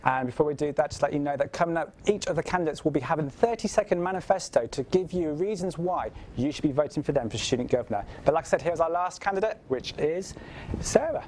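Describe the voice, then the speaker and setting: A man's voice: on the high side, expressive, quick pace, darkish tone, slight background noise. A young man presenting a student TV show and reading out announcements. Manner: reads out announcements.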